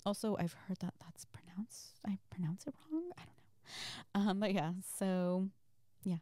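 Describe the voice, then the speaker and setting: Soft mellow lady doing a chatty q&a, rambly and giggly, super close dry sound. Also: medium pitch, animated, a little slow, no room sound. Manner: giggly, appreciative, rambling and hesitant. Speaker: audio creator and host. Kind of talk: casual chat, reading and answering listener questions.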